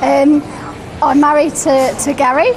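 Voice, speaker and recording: A woman's voice: sits high, little pitch movement, measured pace, over music, low-quality recording. A woman in her thirties introducing herself in a chatty, self-mocking way. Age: 30s. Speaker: contestant.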